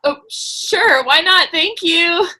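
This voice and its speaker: A woman playing a timid, gentle young woman in a play reading, speaking hesitantly and apologetically. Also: very high voice, animated, full character voice, rough recording. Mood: polite. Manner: hesitant, halting, soft-spoken, apologetic. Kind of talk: stage dialogue in a play reading. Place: indoors on a video call.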